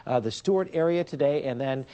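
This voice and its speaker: A man's voice: mid-range voice, a little fast, warm tone. A man giving a loose, ad-libbed local TV weather forecast from a news studio, full of fillers. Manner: loose, chatty delivery full of "uh" and "of course". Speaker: TV meteorologist.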